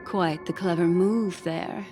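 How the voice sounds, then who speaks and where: A poised game envoy woman who needles with knowing condescension. Her theatrical lines come unhurried, with music clearly playing behind her. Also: fairly low pitch, steady tone. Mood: taunting. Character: poised, needling female envoy and exposer of lies. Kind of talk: video game voice acting.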